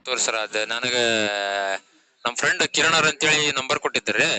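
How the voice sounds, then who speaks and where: Sharp-voiced North Karnataka man on a call. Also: medium pitch, brisk. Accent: North Karnataka Kannada. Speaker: prank caller. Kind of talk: prank phone call. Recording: phone call recording.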